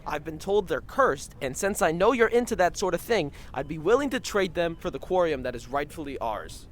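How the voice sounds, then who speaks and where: A man playing a goofy, earnest and excitable young hero in an audio fiction comedy. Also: normal speed, close to an everyday voice. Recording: low rumbling background noise.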